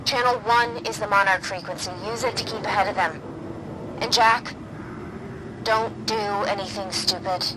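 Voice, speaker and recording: An actor playing a brisk, commanding female ally in a video game, dryly exasperated. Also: medium pitch, fairly even intonation, background noise, lightly acted. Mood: urgent, exasperated.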